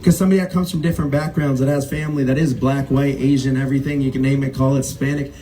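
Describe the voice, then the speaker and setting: A man emceeing a rally, speaking with mounting passion and building to loud climaxes. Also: mid-range voice, level tone, very fast, slight background noise. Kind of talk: rally speech and introduction. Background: crowd.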